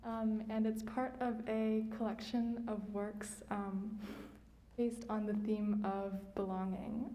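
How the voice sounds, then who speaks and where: High school girl talking about her art, kinda high mellow voice, lots of ums. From United States (Indiana). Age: late teens. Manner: many ums, soft and modest. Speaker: student artist presenting her work. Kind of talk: hesitant personal presentation.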